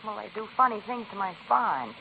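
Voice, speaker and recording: A woman's voice: medium pitch, very animated, fairly quick, gravelly. An outspoken, uneasy young woman in a 1940s radio drama. She is wry, and the old recording is tinny with faint noise.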